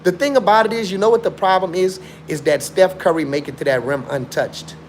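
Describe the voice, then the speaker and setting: Young man, livestream host, emphatic and repetitive, slangy, fairly high voice, faint background hiss. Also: brisk. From born in Chicago, raised in South Africa. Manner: emphatic, repetitive, slangy talk with frequent restarts. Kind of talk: casual live-stream chat.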